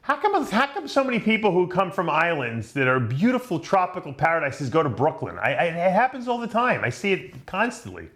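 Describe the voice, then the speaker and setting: A man's voice: fairly high pitch, big pitch swings, brisk, few pauses, a bit bright. A middle-aged man from New York hosting an interview, chatty and easygoing, with frequent restarts. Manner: chatty, many restarts, laughs easily. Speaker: interview host. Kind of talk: casual interview chat.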